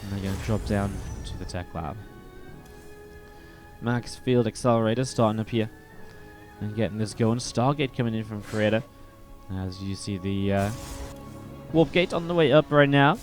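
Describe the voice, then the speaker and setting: A man commenting play by play on a strategy video game match, a little sniffly from a cold, steady and then more excited in the fights. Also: fairly low pitch, normal speed, soft background music. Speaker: esports caster.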